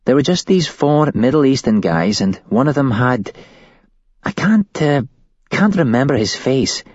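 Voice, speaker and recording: A man's voice: mid-range voice, sing-song, a little slow, darkish tone, no echo, muffled recording, voice pushed up. A man voicing a shaken young woman who was held hostage, recounting it shakily and at a tumble. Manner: shaky, tumbling recollection.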